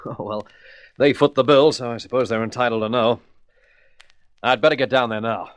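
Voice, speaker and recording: A man's voice: medium pitch, steady tone, normal speed, clear, resonant tone, dry recording, natural voice. A man playing a conscientious, good-natured space adventurer in a radio serial, speaking in an informal, affable way. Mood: busy, resigned. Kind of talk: vintage science-fiction radio serial acting.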